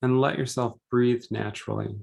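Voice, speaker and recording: A man leading a guided meditation, speaking gently and deliberately in short phrases with pauses between them. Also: mid-range voice, fairly even intonation, creaky, slightly rough.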